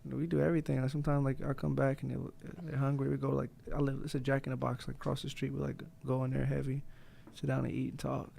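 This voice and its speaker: Fast-talking young man with a gravelly voice. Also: medium pitch, completely flat delivery, dark tone. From United States. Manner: loose, slangy and profane, laughing often. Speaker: guest boxer. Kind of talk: casual podcast chat.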